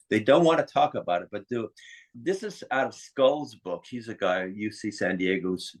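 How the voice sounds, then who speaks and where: An American man talking conversationally as an interview guest, explaining things in an opinionated way. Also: lowish voice. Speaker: interview guest, psychiatrist and author.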